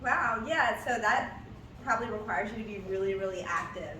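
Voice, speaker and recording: A woman's voice: medium pitch, moderate pace, sharp tone. A woman interviewing a friend in a casual chat, asking questions with a playful, teasing manner. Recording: echoey room, voice from a distance, some noise.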